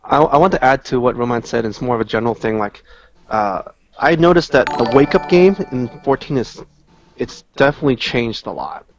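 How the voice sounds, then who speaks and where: A man's voice: mid-range voice, expressive, brisk, muffled recording, faint music behind. A man giving casual, unscripted analysis as a guest player in a gaming discussion, explaining points in a loose, conversational way.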